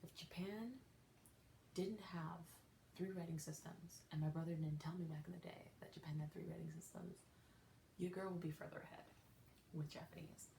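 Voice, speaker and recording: A young woman with a low voice talks through a casual solo podcast, rambling and correcting herself as she goes. Her delivery is soft, often close to a whisper. The room around her rings with echo and carries a faint hiss. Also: fairly even intonation, moderate pace, gravelly. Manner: rambling, self-correcting, chatty. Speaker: podcast host. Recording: echoey room with faint noise.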